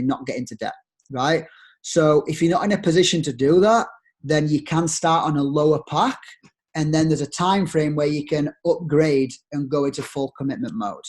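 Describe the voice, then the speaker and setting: A young man with a broad Yorkshire accent giving an upbeat, persuasive sales pitch to network marketers over a screen share, using plenty of rhetorical questions. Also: a little mellow. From Yorkshire, England.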